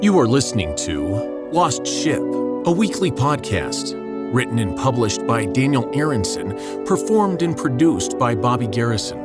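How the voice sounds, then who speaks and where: A man's voice: mid-range voice, sing-song, measured pace. A man delivering a scripted, dramatic podcast announcement as narrator. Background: music.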